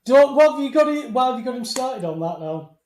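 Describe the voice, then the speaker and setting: Male co-host, high voice, teasing banter, roomy echo. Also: sing-song, a crowd in the background. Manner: teasing, laughing, quick interjections. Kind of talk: casual banter on a live online show.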